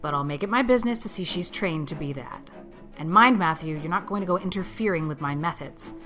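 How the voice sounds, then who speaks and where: A woman playing a stern, brusque middle-aged spinster guardian in an audiobook, crisp, scolding and matter-of-fact. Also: lowish voice, brisk, fairly bright tone, soft background music, lightly acted. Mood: tart, firm.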